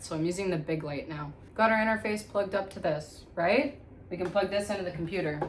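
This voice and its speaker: A woman giving a casual, chatty hands-on tutorial, informally thinking aloud as she goes. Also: mid-range voice. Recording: echoey room, faint background noise.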